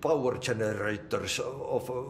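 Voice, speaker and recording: A man's voice: medium pitch, a little slow, a little hiss or hum, close to an everyday voice. A man playing an old Finnish janitor. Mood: chatty. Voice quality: idiom-mangling, muttered asides. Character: quirky older male Finnish janitor, folksy. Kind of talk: video game cutscene.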